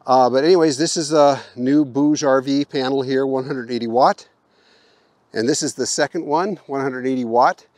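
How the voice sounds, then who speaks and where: A man's voice: medium pitch, moderate pace, a little mellow. A middle-aged man giving casual, folksy how-to narration while doing a DIY job, recorded on a camera mic in an open garage and outdoors. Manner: folksy, plain-spoken instructions. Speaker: DIY vlogger.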